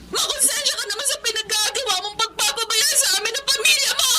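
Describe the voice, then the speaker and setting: A woman's voice: extremely high pitch, quick pace, bright, forceful tone, a little hiss or hum, full character voice. A woman playing an anguished, scolding young wife and mother in a Filipino radio drama, with a pleading, emotional delivery. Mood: angry, pleading.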